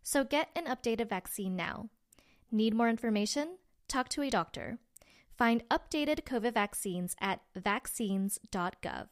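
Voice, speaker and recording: Calm female ad announcer. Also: a little high, unhurried. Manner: calm, persuasive read. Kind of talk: scripted public service ad.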